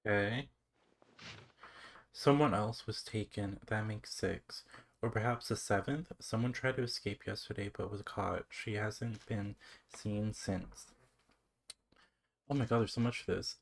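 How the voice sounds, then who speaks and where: Casual game streamer reading aloud, mid-low pitch. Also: steady tone, a little slow, long pauses. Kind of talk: casual live gameplay commentary and reading aloud.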